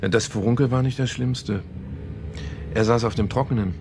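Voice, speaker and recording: An actor playing a man who earnestly and haltingly retells a story, in a naturalistic German radio play. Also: medium pitch, fairly even intonation, vocal fry, noisy background, lightly acted. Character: man haltingly retelling a novel to a sceptical woman.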